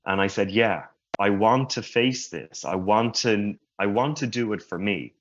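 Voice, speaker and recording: A man who stutters giving a slow, reflective personal talk at a conference. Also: on the low side. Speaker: conference speaker. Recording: muffled lo-fi sound.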